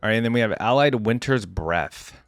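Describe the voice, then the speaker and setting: A man's voice: fairly low pitch, animated, normal speed, creaky, dry recording, studio quality, soft background music. A man hosting a reaction video, giving casual, informal commentary as he thinks aloud.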